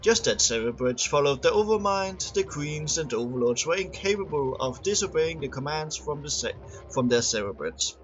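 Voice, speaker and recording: A man's voice: a little high, sing-song, moderate pace, lo-fi sound. A man reading scripted lore aloud as an amateur narrator, sometimes stumbling and repeating words. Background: music under the voice.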